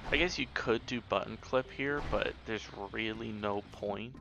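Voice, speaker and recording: A man's voice: fairly low pitch, a little slow, gravelly, dull audio, noisy background. A man giving casual live commentary as he speedruns a video game, often muttering asides to himself. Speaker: speedrunner streamer. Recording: loud game music behind voice. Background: loud video game music.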